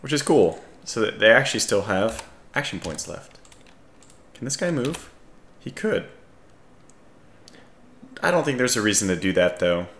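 A man with a scratchy voice giving casual strategy game commentary, calmly thinking aloud as he analyses his options. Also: lowish voice, a little slow, long pauses, roomy sound. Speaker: gaming video host.

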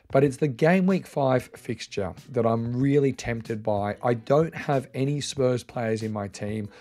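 A man in his forties runs his fantasy football video alone, speaking to viewers in an upbeat, direct manner. His voice sits mellow and even in pitch, close to the mic in a small room. Faint music plays underneath. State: recovering from losing his voice. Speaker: fantasy football channel host. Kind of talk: solo video commentary to camera.

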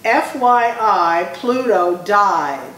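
A woman's voice: medium pitch, lively intonation, very slow, fairly bright tone. A woman voicing a bossy, spiteful second-grade girl from a children's storybook, with a taunting, emphatic delivery. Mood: smug, solemn. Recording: echoey room, distant mic, faint noise.

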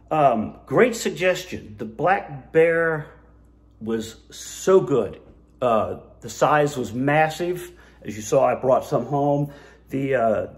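A middle-aged man filming a casual food review on a phone held at arm's length, chatty and enthusiastic, with many fillers and restarts. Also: mid-range voice. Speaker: food vlogger. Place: diner, then outdoors by a road, then home kitchen. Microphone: phone camera held at arm's length.

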